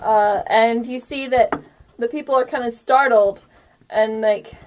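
A woman narrating a tabletop game as game master in a casual, halting, improvised way. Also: a little high, steady tone, darkish tone.

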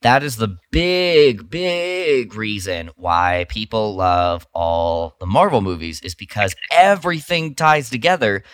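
A man with a fairly low voice chats casually as a podcast co-host. He sounds dry and close, without room reflections. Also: lively intonation, slow pace, hardly any pauses, fairly bright tone, clean tone, no echo. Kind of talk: casual chat.

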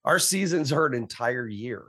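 A man's voice: mid-range voice, expressive, normal speed, a bit bright. A man co-hosting a podcast in casual chat, with dry, wry humor. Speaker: podcast co-host and researcher.

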